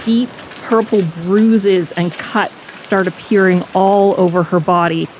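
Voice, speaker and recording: A woman playing a tabletop game, narrating her character's actions in a descriptive, measured and dramatic manner. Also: mid-range voice, fairly even intonation, darkish tone, a little hiss or hum, poor audio.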